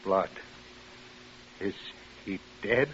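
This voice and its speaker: A man's voice: low-pitched, drawn-out words, highs cut off. An actor playing a fretful, testy male property owner in a 1950s radio drama, on a noisy old recording. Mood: anxious. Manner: agitated, anxious, testy.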